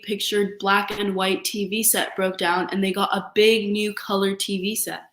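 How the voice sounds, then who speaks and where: Fairly high-voiced woman reading in echoey room. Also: fairly bright tone. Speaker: storyteller. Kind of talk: children's picture book read-aloud.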